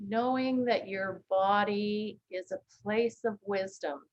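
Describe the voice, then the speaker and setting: A woman leading a guided body scan meditation, speaking gently and calmly with long, unhurried pauses. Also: mid-range voice, lo-fi sound. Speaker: meditation teacher.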